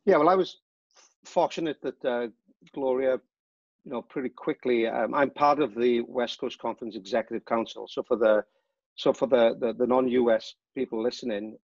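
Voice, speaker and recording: A middle-aged man from Wales with a Welsh accent, a professor explaining things in a relaxed way. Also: medium pitch, normal speed, dry recording, lo-fi sound. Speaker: sport management professor.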